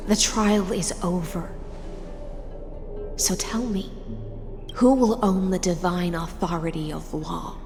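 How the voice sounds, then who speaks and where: A woman's voice: lowish voice, steady tone, slow, long pauses, a little mellow. A woman playing a dreamy, solemn sea oracle in a video game, speaking in a lyrical, incantatory way. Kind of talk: dramatic video game voice acting. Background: music.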